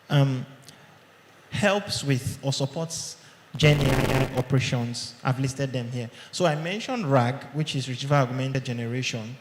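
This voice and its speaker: Male speaker, mid pitch, conversational conference talk, keeps asking "okay?", booming hall reverb. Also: slight background noise. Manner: conversational, checks in with "okay?" often. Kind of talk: live presentation to an audience. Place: large hall. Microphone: handheld or podium mic. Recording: echoey hall with long reverb.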